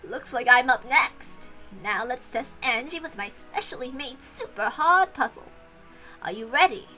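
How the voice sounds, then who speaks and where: A sly, teasing old servant woman, voiced by a woman, sets riddles in a theatrical visual novel style. Her voice is very bright and lively in its rise and fall, with a playful edge. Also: high-pitched, measured pace, faint music behind, exaggerated voice, low-quality recording.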